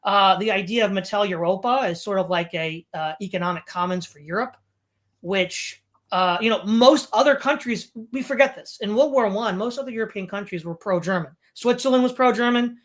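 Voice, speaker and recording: A woman's voice: mid-range voice, fairly quick. A woman interviewing on a podcast, speaking in an opinionated, rambling way with emphatic repetition.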